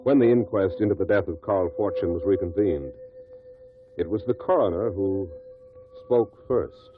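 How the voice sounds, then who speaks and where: A man's voice: deep voice, normal speed, lightly acted. An American man narrating a 1950s radio drama as its announcer, solemn and portentous in scripted delivery. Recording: dull lo-fi radio recording with music.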